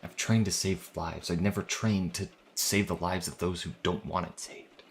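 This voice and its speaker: A man playing an earnest, anxious young priest healer in an audio drama, speaking in a halting, hesitant way. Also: sits low, fairly even intonation, a little hiss or hum. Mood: troubled. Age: young adult.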